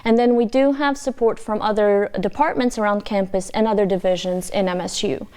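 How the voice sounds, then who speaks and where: A woman from Poland, Polish her first language, talks as a university guest in a measured, explanatory way. Her voice is smooth and resonant, sitting at a middle pitch for a woman. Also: steady tone, a little mellow. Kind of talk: interview answers.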